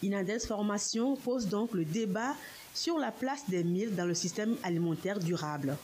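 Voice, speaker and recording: A woman's voice: medium pitch, moderate pace, darkish tone, slight background noise. A woman reading a scripted TV news report.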